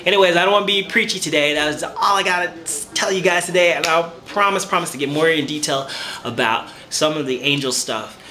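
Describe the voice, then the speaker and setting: A man's voice: fairly high pitch, a little fast, sharp tone, reverberant. A man giving an earnest, encouraging talk to camera, repeating words as he thinks. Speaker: spiritual vlogger.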